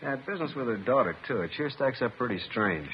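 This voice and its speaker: An actor playing a musing, doubtful investigator in a 1950s radio police drama, speaking in short, laconic remarks. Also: male voice, mid-range voice, animated, fast talker, lightly acted. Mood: puzzled. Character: musing, doubtful male investigator at a murder scene. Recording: dull, hissy vintage 1950s radio recording.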